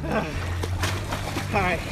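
A man talking casually and excitedly as host of a fishing vlog, outdoors on a boat at sea. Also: takes it slowly, very noisy. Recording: loud music over outdoor boat audio.

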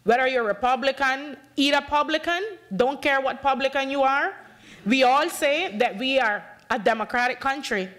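A woman's voice: sits high, moderate pace, a bit bright. A woman in her thirties from the Bay Islands of Honduras, with a Bay Islands English accent, giving an impassioned, emphatic talk at a conference podium and acting out conversations as she speaks. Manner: passionate, emphatic, gestures widely, acts out dialogue. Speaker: panel speaker, community activist. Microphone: podium microphone.

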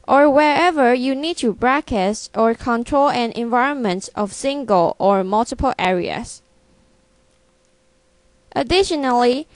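A woman presenting a product in scripted narration, reading in a measured, item-by-item way. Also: a little high, no room sound.

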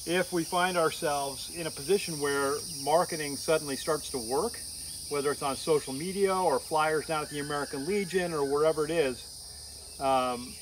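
Middle-aged male farmer, easygoing rambling vlog delivery, recorded outdoors on camera with clear cricket and insect noise. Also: on the high side. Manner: rambling, thinking aloud with frequent uhs. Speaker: farmer vlogger. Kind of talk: casual talk to camera. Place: outdoors in a sunny pasture. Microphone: camera on a tripod a few meters away.

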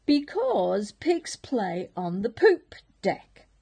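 A woman's voice: medium pitch, big pitch swings, very slow, creaky, mellow, husky, full character voice. A grandmother putting on a growling pirate captain. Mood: gruff. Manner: growling, bossy. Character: gruff male pirate captain, blustering and stubborn.

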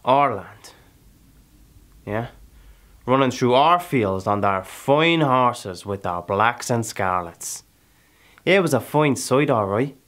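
A man with an Irish accent acting a dramatic monologue as a proud, brooding, restless settler who has killed, speaking deliberately with pauses. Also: low voice, steady tone, natural voice. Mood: bitter, indignant.

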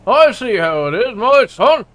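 A man doing a high, touchy roughneck. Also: sing-song, a little slow, fairly bright tone, exaggerated voice. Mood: grumbling threats. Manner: blustering, swears constantly, tacks "boy" on every line. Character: touchy rough-spoken rural Newfoundland man. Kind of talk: comedy sketch acting.

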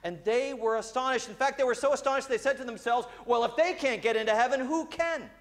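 A man's voice: extremely high pitch, brisk. A man in his thirties, a priest giving a sermon in a large church, speaking conversationally with frequent "uh" fillers. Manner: gestures broadly while walking about.

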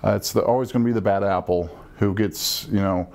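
A middle-aged man explaining things as an air traffic controller on a panel, measured and instructive. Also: fairly low pitch, fairly even intonation, gravelly, darkish tone. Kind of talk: panel discussion, explanatory talk. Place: small meeting room, around a conference table.

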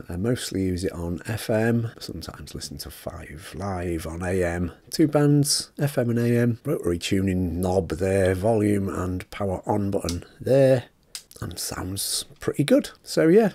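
Casting: British man, low and soft-toned, informal reviewer chatting through a product, close dry recording. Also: creaky, slightly rough, no room sound. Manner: informal, conversational. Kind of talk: casual spoken product review.

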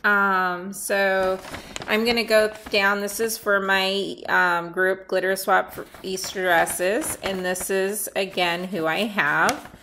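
A middle-aged woman chatting casually as she unboxes a swap package at home, rambling and thinking out loud, her voice a little worn as she comes down with something. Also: medium pitch, steady tone, slow pace, slight background noise. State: losing her voice, getting sick. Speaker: nail art swap host. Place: sunny room at home by a window.

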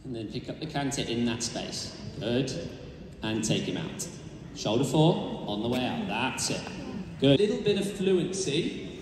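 A man's voice: mid-range voice, expressive, a little slow, very echoey, in a large hall, background noise. A man coaching a rider in a large indoor arena, giving directive, encouraging calls, recorded from a far camera. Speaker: riding coach. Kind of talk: live riding instruction in an arena.

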